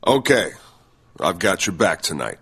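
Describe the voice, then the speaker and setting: A man playing a brooding, edgy champion wrestler in a video game cutscene, terse, with a gravelly voice. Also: sits low, sing-song, measured pace, fairly bright tone, full character voice. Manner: terse, guarded.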